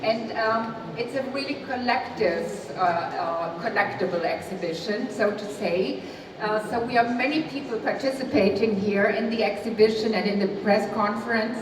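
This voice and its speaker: A woman moderating a press conference, polite and a little hesitant, pausing on fillers. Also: a little high. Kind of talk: formal moderating. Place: large historic hall. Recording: echoey hall, distant mic, background noise.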